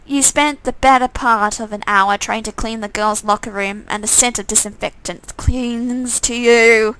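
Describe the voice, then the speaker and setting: A woman's voice: on the high side, fairly even intonation, measured pace, a bit bright, smooth, poor audio. A woman reading a visual novel game aloud in dramatic narration, breaking off for casual, off-the-cuff comments.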